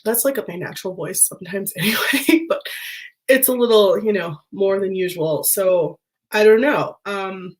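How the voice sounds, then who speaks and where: A woman's voice: mid-range voice, moderate pace. A woman vlogger chatting casually to her viewers, her voice nasal and congested as she recovers from an illness, rambling with fillers and small laughs. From United States. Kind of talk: casual chat to camera.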